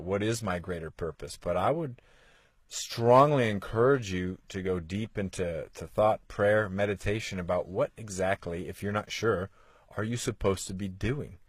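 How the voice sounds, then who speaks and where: A man in his forties gives a motivational podcast talk in a fairly low voice. He sounds earnest and coaxing, and he leans on rhetorical questions. The close mic gives a dry, intimate sound with no room echo. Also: normal speed, breathy edge. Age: 40s. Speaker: podcast host.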